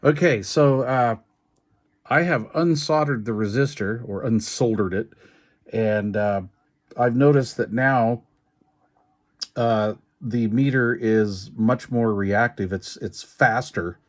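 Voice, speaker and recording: A man with an average-pitched voice talks through hands-on repair work. He is informal and folksy, thinking aloud as he goes. His delivery is halting and casual, like an unscripted demonstration. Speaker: radio repair technician and video host. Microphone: handheld camera while working.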